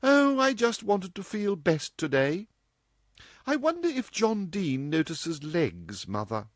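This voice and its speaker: Guy doing a cheeky young girl voice, high and sassy, storybook daughter. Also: big pitch swings, measured pace, dry recording, studio quality. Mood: teasing. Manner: playful, cheeky, flippant. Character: impudent mischievous young woman, teasing daughter.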